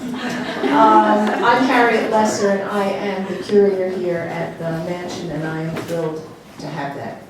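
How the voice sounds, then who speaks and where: A woman curator giving halting opening remarks at a gallery exhibition, filling pauses with ums and uhs. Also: medium pitch, fairly even intonation. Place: gallery room with an audience. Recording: echoey room from far off, noisy.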